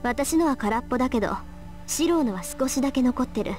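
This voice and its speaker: Young female mage, anime visual novel, quick speech, assertive, hot-tempered, soft music behind. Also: high-pitched, lively intonation. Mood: serious. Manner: brisk, assertive, scolding.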